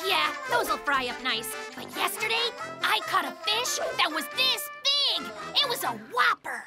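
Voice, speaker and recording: High-pitched, excitable little bear cub. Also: moderate pace, loud music behind the voice, exaggerated voice. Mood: boastful. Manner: excitable, cocky, theatrical. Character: eager, bossy, boastful young brown bear cub. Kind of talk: children's cartoon dialogue.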